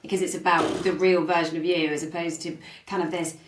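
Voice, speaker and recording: A woman hosting a podcast, asking gentle questions and sharing her own experiences in an empathetic way. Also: fairly low pitch, flat delivery, brisk. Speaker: podcast host. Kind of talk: conversational interview. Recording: roomy echo.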